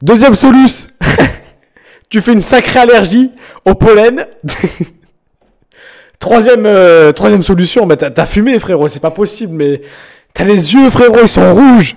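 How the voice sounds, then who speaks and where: A young man from France chatting casually on a live stream, joking and teasing viewers in slangy talk with sudden loud bursts. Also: sits high, lively intonation, measured pace, pauses often. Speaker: streamer reacting to viewers' music.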